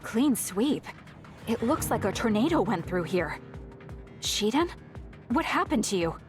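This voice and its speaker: A woman's voice: on the high side, big pitch swings, a little slow. Cool, frank young female soldier. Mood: alarmed. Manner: blunt, composed. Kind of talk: video game voice acting. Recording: music behind the voice.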